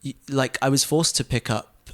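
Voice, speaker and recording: A man's voice: medium pitch, steady tone, moderate pace, fairly bright tone. A young man who grew up in Dubai with a British family, speaking as a guest in a casual interview, hesitant and full of restarts. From grew up in Dubai, UAE, to a British family. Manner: hesitant, many restarts and fillers. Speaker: guest, photographer and radio documentary producer. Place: in London.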